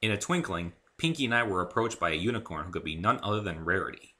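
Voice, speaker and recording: A man giving an expressive first-person dramatic reading of scripted fiction as its narrator. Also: deep voice, fast talker. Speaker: author reading own story.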